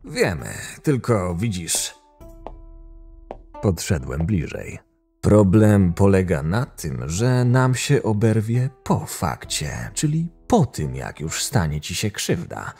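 A man's voice: on the low side, dark tone, slightly rough, exaggerated voice. A man playing a proud, short-tempered, cynical camp enforcer who narrates his own tale in a fantasy audio drama, sardonic and menacing. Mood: cool menace.

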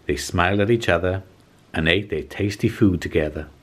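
Low-voiced man reading a storybook. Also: normal speed. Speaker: children's storybook reader and host. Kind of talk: scripted storybook narration.

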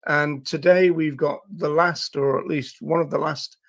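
An older man hosting a casual livestream, talking to viewers in a hesitant, halting way with drawn-out pauses. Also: fairly high pitch, steady tone, gravelly. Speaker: livestream host. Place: small indoor room at a desk.

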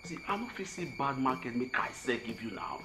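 An actor playing a persuasive, hot-headed Nigerian hustler in a film drama, emphatic and repeating himself for force. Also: male voice, mid-range voice, sing-song, brisk, bright, forceful tone, slight background noise. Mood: smooth-talking. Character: hustling Nigerian man, persuasive, quick to threaten. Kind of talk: film drama acting in Nigerian Pidgin and English. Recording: roomy echo, music underneath.

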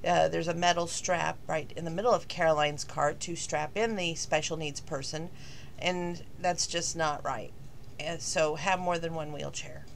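Slightly hoarse chatty woman. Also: low voice, steady tone, moderate pace, slight background noise. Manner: rambling, chatty, with many fillers. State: slightly hoarse, losing her voice. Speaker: vlogger. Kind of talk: casual talking-to-camera vlog.

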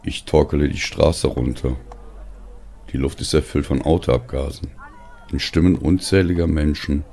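A man with an extremely low, dark voice narrates a first-person story. His delivery is deliberate and full of gravelly fry. Faint music and crowd ambience sit behind him. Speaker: audiobook narrator.

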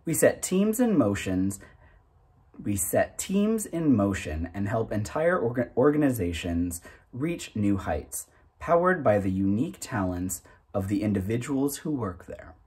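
A man in his thirties reading aloud from a self-help booklet in a deliberate, emphatic manner, occasionally stumbling over the text. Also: on the low side, big pitch swings, a little mellow, slightly rough. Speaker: narrator reading a book aloud.

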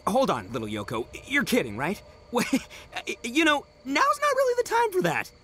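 A man playing a brash, boastful young oni gang boss in a video game, loud, swaggering and casual. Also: very high voice, wildly swooping pitch, moderate pace, background noise, full character voice. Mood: flustered.

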